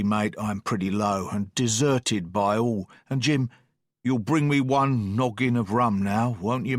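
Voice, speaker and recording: A man voicing an old drunken sea captain, feeble but blustering, who wheedles and talks in rough sailor's slang. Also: mid-range voice, no room sound, studio quality, natural voice. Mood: wheedling.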